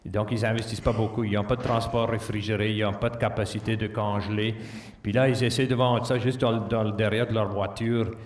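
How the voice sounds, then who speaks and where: A farmer, a man whose first language is not French, answering audience questions in a plain, halting way. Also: on the low side, darkish tone. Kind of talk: Q&A at a conference. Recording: echoey hall, distant mic.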